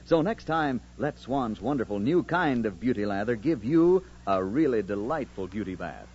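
A man's voice: on the low side, lively intonation, normal speed, over music. A man announcing in a polished, persuasive 1940s radio commercial style. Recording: muffled, noisy old radio recording.

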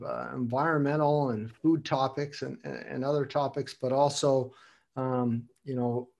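A man's voice: medium pitch, darkish tone. Older man hosting, meandering questions. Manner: meandering questions, repeats words as he goes. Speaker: host. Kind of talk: casual interview.

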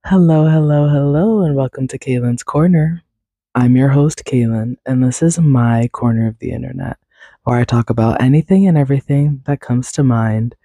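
A young woman hosting a casual solo podcast, rambling and self-deprecating, her voice raspy and congested from a cold. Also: rumbling low, normal speed, mellow, no room sound. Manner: rambling, self-deprecating, many fillers and laughs. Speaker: podcast host.

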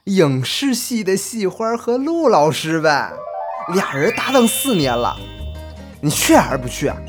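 Woman voicing young male roommate, audio drama, chatty, nudging, animated intonation, light music bed. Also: lowish voice, unhurried. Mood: teasing, coaxing. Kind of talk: Chinese online audio drama acting. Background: faint music.

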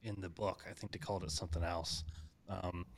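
A man's voice: sits low, steady tone, a little fast, no echo. An American man hosting a casual interview, rambling with many fillers and frequent laughs. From United States. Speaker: podcast host.

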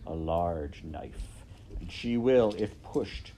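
A man's voice: low voice, animated, slow pace, dark tone, a little hiss or hum, pitched below the performer's own voice, heavily acted. Man playing a sinister, pompous rat villain. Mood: smooth menace. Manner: pompous, formal, menacing. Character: sinister, smooth-talking villainous male rat.

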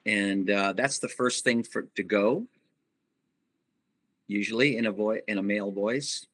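A middle-aged man, a band singer, giving reflective interview answers and pausing often to find his words. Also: fairly low pitch, a bit bright, breathy edge. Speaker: musician guest, band singer.

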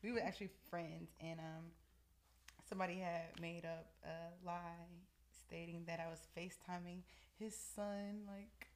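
A woman in her twenties, a podcast guest telling a story in an animated, laughing way. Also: on the low side, moderate pace, slight background noise. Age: 20s. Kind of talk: casual storytelling.

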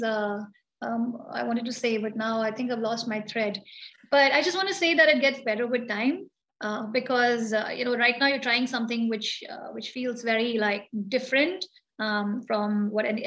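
A woman gives a reflective interview answer as an invited architect. Her voice sits a little high, and she thinks aloud at an unhurried pace, filling the gaps with soft ums and uhs. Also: muffled recording. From India. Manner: thoughtful, hesitant, many ums and uhs. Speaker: guest, architect and founder of a design collective.

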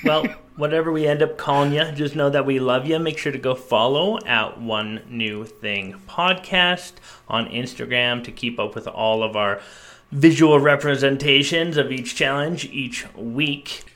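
A man's voice: mid-range voice, unhurried, fairly bright tone. A young man co-hosting a casual podcast, talking in an upbeat, joking way. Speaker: podcast co-host.

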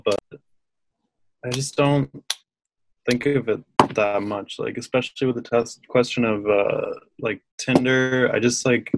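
Slow, flat-voiced man, low. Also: a little hiss or hum, poor audio. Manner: laid-back, many "like" fillers. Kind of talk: casual chat.

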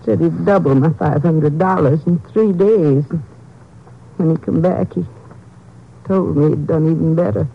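An actress playing an ashamed, destitute old widow in a radio crime drama, halting and despairing in plain folksy speech. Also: female voice, low voice, gravelly, breathy edge, heavily acted. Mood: subdued recounting. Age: older. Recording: muffled vintage radio drama recording.

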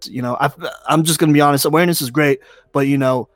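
A man's voice: a little high, fairly even intonation, fast, no echo, clean studio sound. A man co-hosting a podcast, talking earnestly about voting and change, with lots of "you know" fillers. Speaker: podcast co-host. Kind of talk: casual opinion talk.

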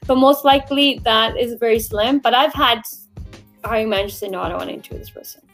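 A woman's voice: on the high side, fast talker, fairly bright tone, soft background music. A woman recruiter co-hosting a livestream career discussion, talking in a blunt, emphatic, conversational way. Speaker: recruiter and show co-host.

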